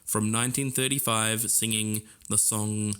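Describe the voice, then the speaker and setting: A man co-hosts a storytelling podcast. His voice is a little low in pitch, and he narrates in a semi-scripted way. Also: flat delivery, unhurried, a bit bright, clear, resonant tone. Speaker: podcast co-host.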